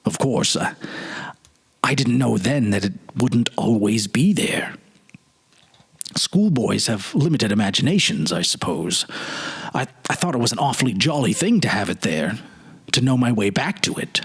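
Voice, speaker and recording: Guy doing wistful middle-aged gentleman remembering childhood, kinda husky, hesitant, pitch goes up and down a lot, storybook drama. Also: sing-song, normal speed, exaggerated voice. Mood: wistful, musing. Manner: hesitant, musing, reminiscing.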